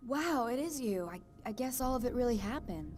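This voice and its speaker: A woman playing an uneasy young woman in a video game, talking in a halting, nervous, naturalistic way. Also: on the high side, lively intonation, a little mellow, close to an everyday voice. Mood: uneasy, bewildered. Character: uneasy, hesitant young woman caught in strange events. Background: music.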